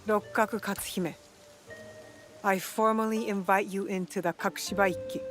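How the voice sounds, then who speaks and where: Woman acting a stern, sharp-tongued female warrior. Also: mid-range voice, steady tone, moderate pace, natural voice. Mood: earnest, respectful. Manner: curt, earnest, dryly scolding. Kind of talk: dramatic video game dialogue. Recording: music playing behind the voice.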